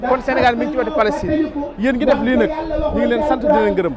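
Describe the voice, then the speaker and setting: A man's voice: very high voice, quick pace. A man speaking as an official representative, in a formal, declamatory way. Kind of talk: formal address. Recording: loud crowd, outdoor rally.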